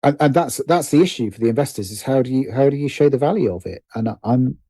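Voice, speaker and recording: Man with very mellow voice, halting delivery. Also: mid-range voice, dry recording, clean studio sound. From United Kingdom. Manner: hesitant, repeats and rephrases phrases as he thinks. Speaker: guest, writer and producer. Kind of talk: casual interview conversation.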